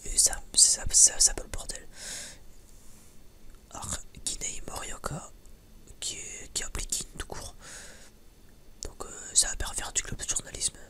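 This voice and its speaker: A man's voice: unhurried, pauses often, pushed and bright. A man whispering breathily in a casual, unscripted ASMR style, rambling hesitantly with many false starts. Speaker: ASMR video creator.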